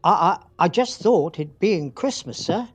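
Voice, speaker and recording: Man playing a meek, high-voiced clerk. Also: expressive, a little slow, husky, full character voice. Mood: nervous. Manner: meek, stammering, deferential. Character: timid, cheerful, downtrodden male clerk. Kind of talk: radio play acting.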